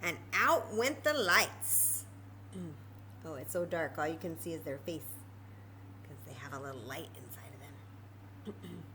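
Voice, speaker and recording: A woman's voice: deep voice, expressive, measured pace, long pauses, bright, forceful tone, slight background noise, low-quality recording. A woman hosting a children's library story time and craft lesson, explaining simply step by step in an informal, chatty way.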